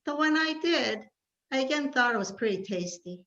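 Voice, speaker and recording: A woman reading a prepared personal story aloud with measured, dramatic phrasing. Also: high-pitched, expressive, a bit bright, muffled recording. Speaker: participant sharing a family memory.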